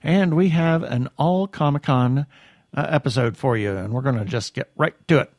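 A man's voice: medium pitch, moderate pace, a little mellow, no echo, studio quality, soft background music. An American man hosting a webcast and interviewing in a conversational way. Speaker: webcast host.

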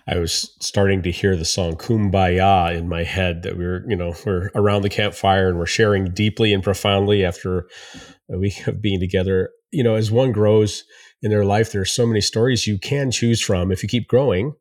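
A man's voice: low voice, steady tone, normal speed, no room sound, clean studio sound. A man co-hosting a podcast, talking in an earnest, reflective way. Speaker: podcast co-host. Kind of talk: casual conversation.

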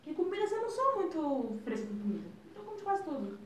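A young woman, co-hosting a casual chat podcast, blunt and quick to swear. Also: sits high, very animated, far from the mic, background noise. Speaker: podcast co-host. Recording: roomy, mic set back, faint ambience.

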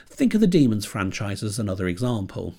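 Stuffy-nosed man with a lively, dry delivery. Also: on the low side, brisk. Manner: dry, self-deprecating wit. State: stuffy nose from a cold. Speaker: podcast host. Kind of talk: scripted podcast monologue. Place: home computer desk.

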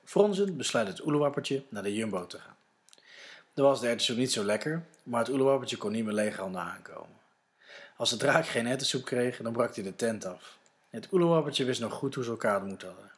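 A man's voice: mid-range voice, expressive, a little fast. Dutch man narrating a children's story. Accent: Netherlands Dutch.

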